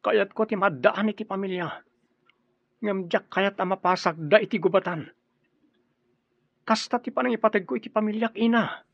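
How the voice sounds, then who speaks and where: A man's voice: high-pitched, moderate pace, gravelly, pauses often, no room sound, lo-fi sound. An actor playing an earnest, respectful, peace-loving young man fresh from graduation in an Ilocano radio drama. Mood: earnest, pleading.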